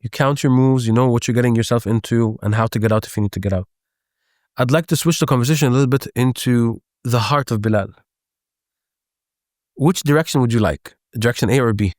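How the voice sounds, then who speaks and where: A man's voice: medium pitch, brisk, a little mellow, no echo, clean studio sound. A man hosting an interview, asking questions and giving short encouraging affirmations. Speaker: podcast host.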